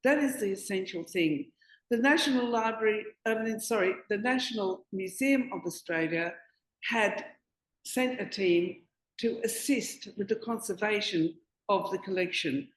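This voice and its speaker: Panelist, woman, earnest and self-correcting, mid pitch, roomy sound. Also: measured pace. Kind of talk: panel discussion.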